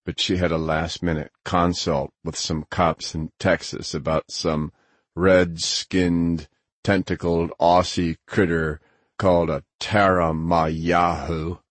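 Man voicing a rumbling, gruff, stocky hacker guy. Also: little pitch movement, takes it slowly, dry recording. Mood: sober. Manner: blunt, profane, needling. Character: tall stocky gruff sardonic hacker friend, male, middle-aged.

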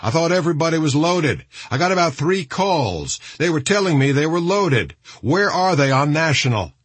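A man's voice: fairly high pitch, big pitch swings, normal speed, slightly rough, dry recording, pitched above the performer's own voice. A man voicing a military commander in an audiobook, answering over battlefield radio in clipped, urgent phrases. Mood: pressed, questioning. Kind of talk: audiobook character voice.

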